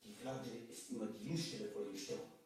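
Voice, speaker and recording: An actor playing a grim sea captain with an ominous, dramatic delivery in an old radio play. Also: male voice, mid-range voice, moderate pace, darkish tone, natural voice. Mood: grave warning. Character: grim, doom-laden sailing ship captain. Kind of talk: 1970s German radio play acting. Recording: distant, echoey old record with music.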